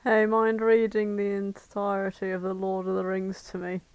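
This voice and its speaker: A woman's voice: mid-range voice, completely flat delivery, normal speed, no room sound, dull audio. A British woman co-hosting a podcast in casual comedic chat, with a playful, teasing manner. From Britain. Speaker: podcast co-host.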